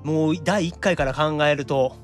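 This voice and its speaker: A man's voice: fairly high pitch, normal speed. A man with a Kansai Japanese accent hosting a podcast with casual, teasing banter and straight-man retorts, with music under his voice. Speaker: podcast host. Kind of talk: lively casual radio-show banter.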